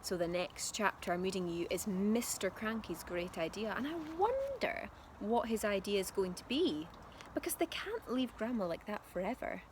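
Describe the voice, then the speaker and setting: A woman's voice: mid-range voice, big pitch swings, normal speed. A woman reading a storybook aloud expressively like a primary teacher, speaking directly to young listeners. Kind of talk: expressive storybook narration for children. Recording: clear background noise.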